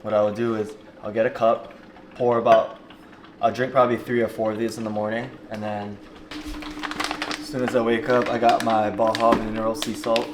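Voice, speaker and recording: A man's voice: fairly low pitch, level tone, moderate pace, background noise. Laid-back rambling young man. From Hawaii. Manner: rambling, earnest, frequent "you know" and "like". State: jet lagged. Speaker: vlogger. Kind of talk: unscripted casual vlog talk.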